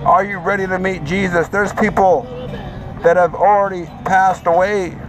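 A man's voice: high-pitched, normal speed, low-quality recording. Man street preaching over music. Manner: repetitive, exhorting, steady cadence. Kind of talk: open-air street preaching. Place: city sidewalk at night outside a theme park.

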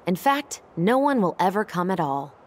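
A woman's voice: on the low side, sing-song, moderate pace, slight background noise. An actress playing a dry, guarded, teasing young American woman in naturalistic video game dialogue. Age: young adult.

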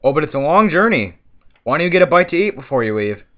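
A man's voice: fairly high pitch, very animated, fast talker, phone-quality sound. A man doing a sly, gloating underworld lord. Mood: sly, casual. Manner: theatrical, gloating. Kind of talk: amateur cartoon puppet show acting.

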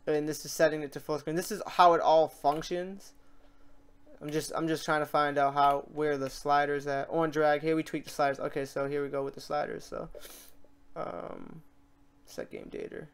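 A man hosting a casual software tutorial, informal and rambling, thinking aloud as he works. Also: fairly high pitch, little pitch movement, normal speed, creaky, clear, resonant tone. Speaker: tutorial host. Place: indoors at a computer.

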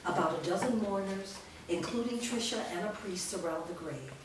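A woman reading a screenplay's stage directions aloud in a measured, descriptive way at a staged reading, recorded in a large studio from a distance. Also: medium pitch, background noise. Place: large indoor rehearsal studio. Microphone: far camera mic. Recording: echoey studio from far off.

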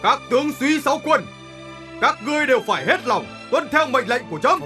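A man playing a resolute middle-aged emperor in an animated historical drama, with stately, declamatory delivery. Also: very high-pitched, brisk, soft background music, heavily acted. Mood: rousing, proclaiming. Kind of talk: animated historical drama acting, formal oratory.

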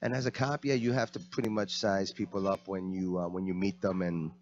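A man's voice: sits low, fairly even intonation, fairly quick. A man from the Bronx, an actor guest talking casually and hesitantly, with many ums, into a handheld mic. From the Bronx, New York. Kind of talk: casual interview talk. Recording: dull lo-fi sound.

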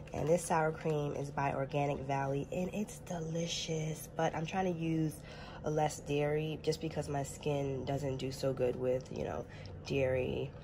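A woman's voice: sits low, noisy background. A young adult woman chatting casually on a family vlog, a little nasal from a cold, laughing often. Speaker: family vlogger. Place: home kitchen, later outdoors in a park. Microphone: phone held at arm's length.

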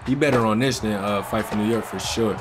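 American man reacting over loud music. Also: fairly low pitch, brisk. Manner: slangy, informal. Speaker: gaming video host. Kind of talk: casual reaction commentary.

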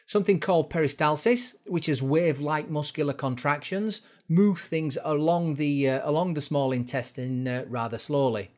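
Guy with a slightly high voice doing an instructor explainer, informal, step by step. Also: normal speed, hardly any pauses, a little mellow. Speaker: fitness instructor presenting a course. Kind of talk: explanatory educational narration.

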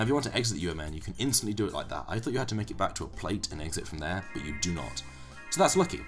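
A young man, hoarse from being ill, giving rambling, casual commentary on a game he is playing. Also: low-pitched. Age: young adult. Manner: rambling, self-correcting explanations. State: hoarse from illness. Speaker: let's play commentator.

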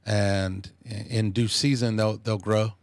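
A man in his fifties talking reflectively as a podcast guest, thoughtful and measured, with many restarts. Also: fairly low pitch, flat delivery, no room sound. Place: small podcast studio. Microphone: studio microphone on a boom arm.

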